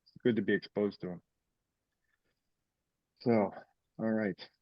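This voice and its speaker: A man's voice: on the low side, steady tone, takes it slowly. A man hosting an online meeting in a casual, informal, rambling way, heard over a conference call. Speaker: meeting host. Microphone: teleconference call. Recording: dull lo-fi conference call audio.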